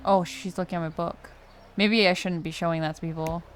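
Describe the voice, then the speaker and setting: A young adult woman giving casual let's play commentary, chatty and slangy as she thinks out loud. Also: lowish voice, fairly even intonation, fairly quick, a little hiss or hum. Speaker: gaming streamer. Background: game music.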